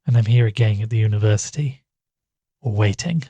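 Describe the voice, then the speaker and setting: Guy playing worried investigator in an audio drama, kinda raspy, hesitant, really dry sound. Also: fairly low pitch, normal speed, warm tone, no echo. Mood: brooding, worried. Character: uneasy male amateur investigator recording his findings, anxious, earnest. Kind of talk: audio drama dialogue and first-person narration.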